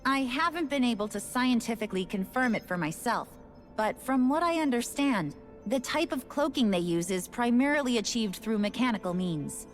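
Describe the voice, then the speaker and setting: A woman's voice: fairly high pitch, expressive, moderate pace, lightly acted. An actress voicing a casual, resigned woman station worker in a video game. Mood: offhand. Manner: casual, offhand, matter-of-fact. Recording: game audio with faint music.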